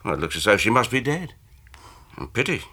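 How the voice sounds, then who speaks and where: Bright-voiced, defensive British businessman. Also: mid-range voice, big pitch swings, brisk, slightly rough, full character voice. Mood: offhand. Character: defensive middle-aged businessman, former suitor, resentful and evasive. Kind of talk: British radio drama acting.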